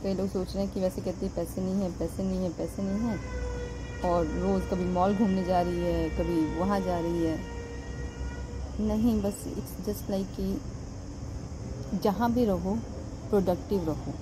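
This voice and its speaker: A young woman with an Indian accent talks casually to her viewers, chatty and easygoing, switching between Hindi and English. She records on a handheld phone in an outdoor garden cafe, and steady background noise runs under her voice. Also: medium pitch, measured pace, pauses often. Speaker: vlogger, startup founder. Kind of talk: casual vlog talk to camera. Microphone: phone camera held by hand.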